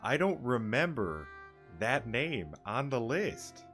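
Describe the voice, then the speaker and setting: A man's voice: mid-range voice, very animated, slow pace, fairly bright tone, faint music behind. A man casually commenting while playing a game, joking, rambling and stumbling over names.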